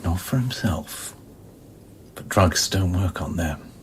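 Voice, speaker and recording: An actor playing a wry, probing, world-weary scientist in a radio play, speaking in a dry, measured, confiding way. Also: male voice, deep voice, lively intonation, slight background noise.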